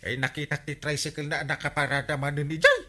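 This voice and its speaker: An actor playing a blustery comic village captain in an Ilocano radio drama, with an Ilocano accent and an incredulous, exclamatory manner. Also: male voice, fairly high pitch, little pitch movement, fairly quick, pushed and bright. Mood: curious.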